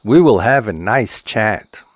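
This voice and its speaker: A man's voice: on the low side, expressive, takes it slowly. Man as a friendly storybook rabbit, sing-song delivery. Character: friendly chatty male rabbit visiting a fox. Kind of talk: storybook dialogue.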